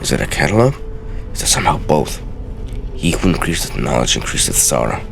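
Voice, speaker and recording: A man giving let's play game commentary and dramatically reading in-game texts aloud in a theatrical, deliberate way. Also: very deep voice, very animated, vocal fry, fairly bright tone, slight background noise.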